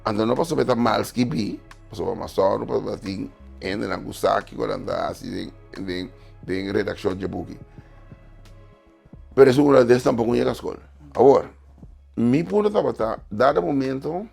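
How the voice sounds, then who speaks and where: Man, gravelly and husky, emphatic guest writer on a talk show, faint music under. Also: on the low side, animated, moderate pace, pauses often, a little mellow. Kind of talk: studio talk show discussion.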